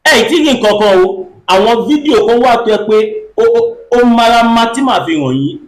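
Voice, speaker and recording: A man hosting an online commentary show, animated and exclamatory, switching between Yoruba and English. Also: very high voice, rapid-fire, reverberant, poor audio. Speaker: host.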